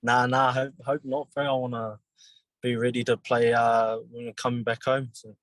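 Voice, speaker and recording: A young New Zealand man in his twenties, a rugby league player answering interview questions in a halting, casual way with many pauses and restarts. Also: mid-range voice, little pitch movement, dry recording, low-quality recording.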